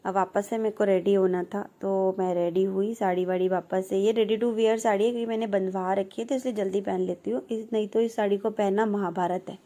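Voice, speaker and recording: A woman in her thirties from India talks over her home vlog in a relaxed, chatty voice-over, teasing herself now and then. Her voice is warm and mellow at a middling pitch. A slight hoarseness sits in her throat throughout. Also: brisk, hardly any pauses. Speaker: vlogger. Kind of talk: casual voice-over narration.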